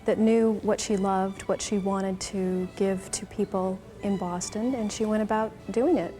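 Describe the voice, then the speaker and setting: Woman museum guide, calm and even, mellow flat tone, soft music behind. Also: medium pitch, measured pace.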